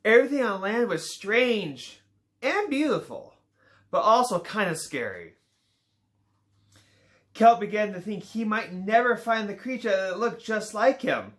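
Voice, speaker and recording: A man reading a children's picture book aloud, unhurried and informal. He stumbles now and then and drops in playful asides, and his pitch rises and falls a great deal as he tells the story. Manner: relaxed reading with small slips and playful asides.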